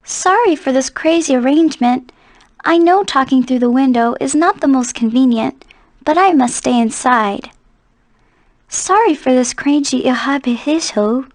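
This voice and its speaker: A woman's voice: high voice, unhurried, no room sound. A woman playing a polite, sheltered young woman in a fantasy game, recorded during studio takes. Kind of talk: scripted game dialogue, studio outtakes.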